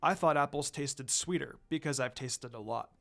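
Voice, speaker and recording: A man voicing a great dog demon lord, calm and kind, speaking softly in a story reading. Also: medium pitch, moderate pace, gravelly, voice pushed down. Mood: kind, admiring. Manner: kind, soft, smiling.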